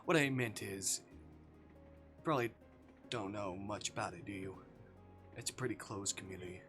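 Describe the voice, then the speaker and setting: A man voicing an older, earnest train passenger in a visual novel, awkwardly chatty and gravely cautionary. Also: on the low side, normal speed, over music, natural voice. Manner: hushed, earnest, gentle urgency.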